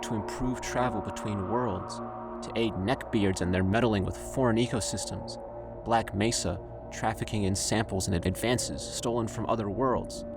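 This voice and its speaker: A man with a low voice narrates an audio drama from a script. He sounds solemn and deliberate, and loud music plays beneath him. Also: fairly even intonation, creaky.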